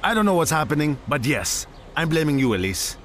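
A man's voice: fairly high pitch, big pitch swings, fairly quick, a bit bright. An actor playing a blunt, exasperated male shop owner and wry storyteller in an audio drama. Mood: accusing. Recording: clear background noise.

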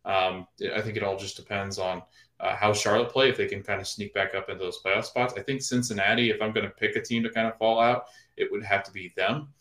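Low-voiced podcast co-host in an echoey room. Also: male voice, fairly even intonation, brisk, a bit bright. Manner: hedging, many fillers and restarts. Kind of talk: casual sports chat.

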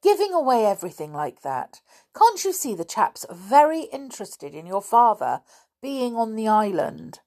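A woman narrator acts a shrewd, blunt schoolboy from an old-fashioned children's storybook. He takes charge in clipped slang, with pitch that rises and falls sharply. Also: big pitch swings, a little slow. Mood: scolding. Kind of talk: children's storybook character reading.

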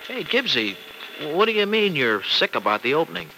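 A man playing an earnest, conflicted young married man in a 1940s radio soap, speaking emphatically and haltingly in colloquial phrases. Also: fairly high pitch, very animated, gravelly, pushed and bright, faint music behind, full character voice. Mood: friendly, sheepish. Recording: noisy vintage radio drama recording.

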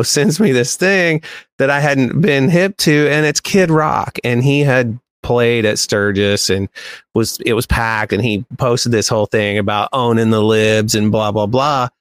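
A man's voice: mid-range voice, unhurried, clear, resonant tone, no echo. A man co-hosting a podcast in casual chat, telling things in a rambling, emphatic way. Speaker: podcast co-host.

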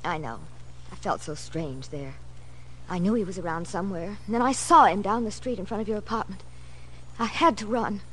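Actress as frightened young American woman: mid-pitched, earnest, evasive, vintage radio acting, faint noise. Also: moderate pace, a bit bright, natural voice, poor audio. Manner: earnest, anxious. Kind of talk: 1940s radio drama acting.

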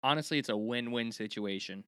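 Bright, crisp New co-host. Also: male voice, medium pitch, fairly quick, dry recording, clean studio sound. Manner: chatty, emphatic with "like". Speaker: podcast co-host. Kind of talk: casual hockey podcast chat.

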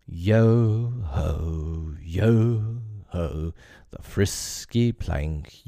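A man's voice: low voice, gravelly, darkish tone, no echo, full character voice. A man voicing a villainous pirate captain in a storybook, theatrical and drawn out, with purring, mock-polite menace.

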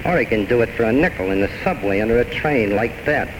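Cynical barkeep, played by a man, mid-pitched, terse and sardonic; old-time radio drama with noisy sound. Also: animated, fast, lightly acted. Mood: grimly sardonic. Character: blunt, cynical male bartender and listener, unsentimental. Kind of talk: 1940s-1950s radio drama acting.

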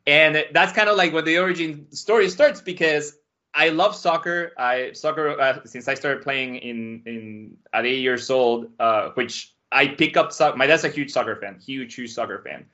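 A man from Mexico, a podcast guest, telling his life story in a casual, animated way. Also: medium pitch, moderate pace. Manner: animated, acts out quotes with a raised voice. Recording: dull lo-fi sound.

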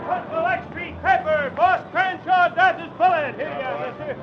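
An actor playing a street newsboy hawking papers in loud sing-song calls, in a 1940s radio drama. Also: male voice, very high voice, normal speed, a faint crowd. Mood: urgent hawking. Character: shouting street newsboy hawking an extra edition. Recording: muffled old radio, faint music.

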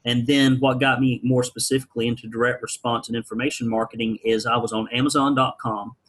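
A man's voice: medium pitch, little pitch movement, quick pace, fairly bright tone, husky. A man from rural Alabama, telling his story as an interview guest with wry, folksy, blunt humor. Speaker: guest, business founder.

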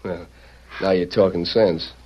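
An actor playing a ruthless, bullying Western outlaw leader in an old-time radio drama, gruff and threatening with cowboy slang. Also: male voice, low-pitched, fairly even intonation, unhurried. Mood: coolly threatening. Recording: muffled, hissy old radio recording.

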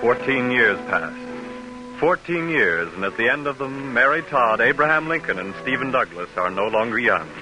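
A man narrates in dramatic old radio style. His medium-pitched voice swings widely, with music clearly playing behind it. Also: sing-song, normal speed, low-quality recording.

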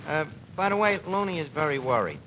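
An actor playing a cocky, gullible New York tavern manager in a radio comedy, with a New York accent and mangled pompous phrasing, on an old broadcast recording. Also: male voice, fairly high pitch, very animated, a little fast, bright, forceful tone, slight background noise, low-quality recording. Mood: chatty, wry. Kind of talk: 1940s radio comedy acting.

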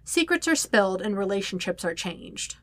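A woman narrating fan fiction as a scripted story, with expressive, dramatic emphasis on key words. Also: medium pitch, moderate pace. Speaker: podfic narrator, reading own fan fiction.

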